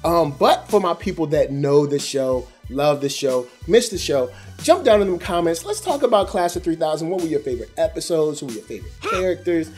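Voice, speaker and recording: Higher-pitched American man hosting over music. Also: sing-song, brisk, darkish tone. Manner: conversational, slangy, addressing viewers directly. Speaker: host of an animation review show. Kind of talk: casual review commentary to camera.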